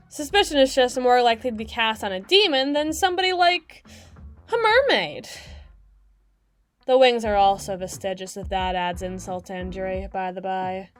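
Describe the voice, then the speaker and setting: A woman's voice: fairly high pitch, lightly acted. A woman playing a bitter, snarky demon club DJ in an ASMR roleplay audio drama, with a biting, exasperated, foul-mouthed delivery. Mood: weary, sardonic explaining. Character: snarky, crass, fast-talking female demon club DJ, bitter and defensive.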